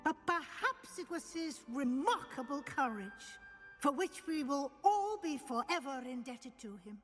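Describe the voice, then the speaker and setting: An actress playing a dignified, kindly middle-aged witch professor, speaking in a measured, earnest, formal way in a video game. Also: high voice, animated, a bit bright. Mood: solemn, proud. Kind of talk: solemn dramatic speech, video game acting. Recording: music behind the voice.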